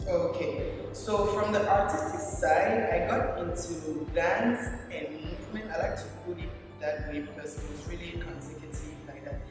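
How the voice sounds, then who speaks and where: A woman's voice: on the low side, moderate pace. A woman, a movement therapist who chats casually in an interview and drops in "like" and "yo". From Cameroon. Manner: relaxed and chatty, drops in "like" and "yo". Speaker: movement therapist and yoga instructor. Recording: echoey hall, distant mic, music behind.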